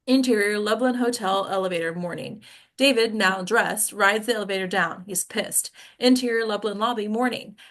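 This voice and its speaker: Female narrator, mid-range pitch, script table read, stage directions, unfussy informal delivery. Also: normal speed, a bit bright.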